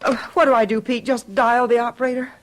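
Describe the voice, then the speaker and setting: High-voiced, fretful housewife played by an actress. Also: female voice, fairly even intonation, fast, slight background noise, lightly acted. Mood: anxious, insistent. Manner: fretful, hesitant, insistent. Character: anxious housewife troubled by a feeling about missing friends. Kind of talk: radio drama acting.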